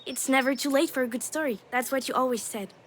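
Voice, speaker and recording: A woman's voice: sits high, fast talker, a little hiss or hum, natural voice. An actress voicing a cheeky, affectionate young daughter in a video game, with a playful, girlish, teasing manner. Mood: playful, reassuring. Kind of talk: naturalistic video game drama acting.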